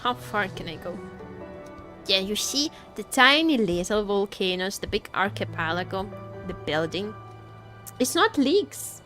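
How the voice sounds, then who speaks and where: A woman's voice: medium pitch, very animated, a little slow, music in the background. A woman chatting casually as she livestreams a game, playfully repeating her phrases to viewers.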